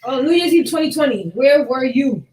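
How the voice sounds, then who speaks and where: A woman's voice: sits high, expressive, normal speed, darkish tone. A woman hosting a casual podcast, talking in loose slang and swearing freely. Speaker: host of a podcast. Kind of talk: casual chat show hosting. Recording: echoey room with background noise.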